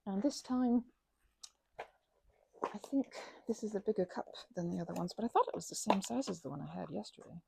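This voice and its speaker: A woman playing a goofy, caring, scatterbrained best friend in a casual ASMR roleplay, with soft, rambling, halting speech. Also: mid-range voice, animated, creaky, noisy background. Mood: musing, playful. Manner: rambling, halting, self-interrupting, giggly.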